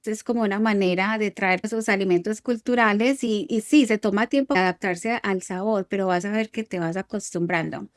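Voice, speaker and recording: A woman's voice: medium pitch, normal speed, few pauses, no room sound. A woman with a Colombian paisa accent, co-hosting a podcast and explaining food habits in a chatty, easygoing way. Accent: Colombian paisa (Antioquia). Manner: chatty, explanatory, laughs easily. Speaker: co-host, nutrition specialist. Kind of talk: casual podcast conversation.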